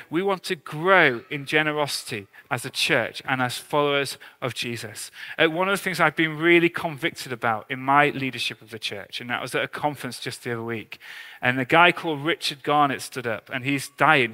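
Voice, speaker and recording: Bright-voiced pastor in a reverberant hall. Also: male voice, a little high, normal speed. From England. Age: 30s to early 40s. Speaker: pastor, church leader. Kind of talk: informal sermon. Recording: long hall reverb on preaching voice.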